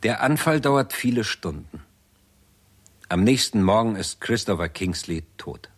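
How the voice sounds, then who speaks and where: A man with a deep, husky voice narrates a sixties radio drama. His delivery is sober and measured, like a scripted report. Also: lively intonation. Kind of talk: 1960s radio play narration.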